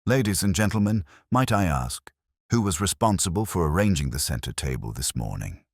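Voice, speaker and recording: A man voicing a calm, shrewd, courteous police inspector in a storybook mystery, speaking gently and deliberately. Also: low-pitched, no echo, clean studio sound, heavily acted. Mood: polite, probing.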